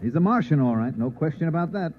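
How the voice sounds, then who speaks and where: An American actor playing an easygoing, protective newspaper reporter in an old-time radio drama, smooth-talking, wry and reassuring. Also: male voice, fairly high pitch, animated, fast talker. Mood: wry, defensive. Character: easygoing, protective newspaper reporter narrating his own story. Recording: tinny narrow-band vintage radio, noisy.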